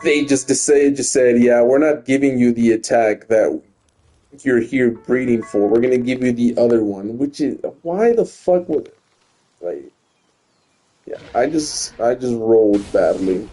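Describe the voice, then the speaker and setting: A man's voice: medium pitch, a little slow, mellow, faint music behind. A young man talking casually while playing a game on stream, rambling, stuttering and restarting his words, and swearing often. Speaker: gaming streamer. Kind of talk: casual gameplay commentary.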